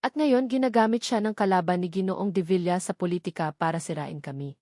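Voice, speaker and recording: A woman's voice: on the low side, brisk, a little mellow, no echo, close to an everyday voice. Woman voicing an earnest Filipino mother, close and dry. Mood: earnest, pleading. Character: earnest young mother figure confessing on television.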